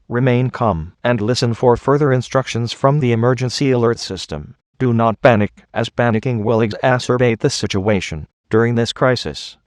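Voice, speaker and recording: A man's voice: medium pitch, no room sound, clean studio sound, close to an everyday voice. A man playing a resolute, solemn government spokesman, giving a formal, stately scripted address to the nation. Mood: solemn, reassuring.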